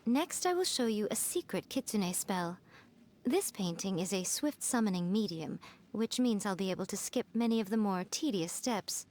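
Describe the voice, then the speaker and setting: A woman voicing a sly, elegant fox spirit in a video game, teasing and coaxing in an unhurried, playfully condescending way. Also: medium pitch, lively intonation, a little mellow, slight background noise, close to an everyday voice. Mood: poised, instructive. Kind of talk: video game character dialogue.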